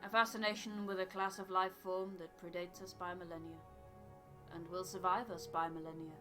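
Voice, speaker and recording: A woman voicing a scholarly, aloof male botanist from a video game, with precise, formal, measured phrasing. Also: fairly low pitch, flat delivery, over music, close to an everyday voice. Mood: formal, musing. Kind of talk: voiced reading of video game dialogue.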